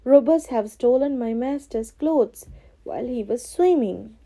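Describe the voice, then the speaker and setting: A woman's voice: fairly high pitch, animated, a little slow, warm tone, voice pushed up. A woman voicing a clever, bold male cat from a storybook, courteous and coaxing in a theatrical way. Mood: urgent.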